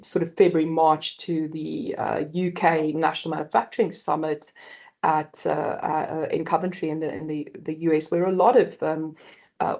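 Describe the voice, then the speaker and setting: A South African woman in her fifties speaking on a conference panel, halting with many fillers and restarts, often calling the audience guys. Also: low voice, vocal fry, warm tone, muffled recording.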